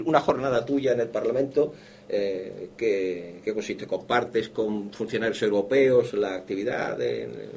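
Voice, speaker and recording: A man's voice: mid-range voice, a little mellow. Male interviewer, wordy and winding, light background noise. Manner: long winding questions, trails off. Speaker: radio interviewer. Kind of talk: rambling interview questions.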